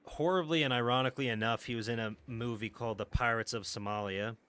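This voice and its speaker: Guy streaming a strategy game, tense bright voice, laughs and swears a lot. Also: medium pitch, steady tone, quick pace, clear, resonant tone. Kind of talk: casual gaming chat.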